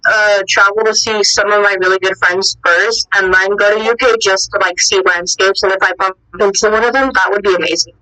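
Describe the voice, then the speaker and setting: A woman's voice: mid-range voice, steady tone, fairly quick, few pauses, bright, forceful tone, distant mic, low-quality recording. A young woman on a group voice call, speaking in a matter-of-fact, emphatic way. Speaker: call participant. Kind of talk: casual group call chat.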